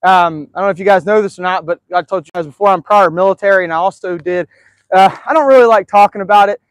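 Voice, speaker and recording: A man with a fairly high voice talks casually to his viewers from outside in his yard. He rambles in an easygoing way, with plenty of filler words. His voice sounds dry and close, with no room echo. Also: fast, smooth. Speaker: live stream host. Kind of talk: casual live-stream chat. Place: outdoors in a backyard.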